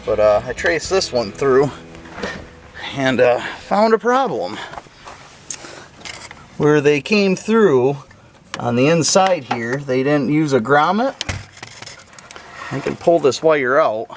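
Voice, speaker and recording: A man's voice: medium pitch, a little hiss or hum. A man giving a casual, folksy hands-on walkthrough to camera as a car hobbyist, thinking aloud as he works with some hesitation.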